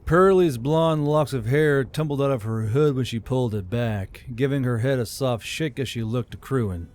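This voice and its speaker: A male audiobook narrator reads scripted fantasy prose. His voice sits at a medium pitch as he delivers the descriptive storytelling. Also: normal speed, a little mellow.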